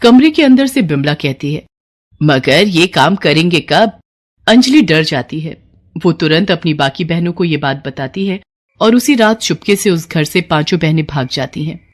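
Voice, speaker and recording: A woman's voice: low-pitched, animated, normal speed. A woman delivering scripted narration for a moral tale as the storyteller.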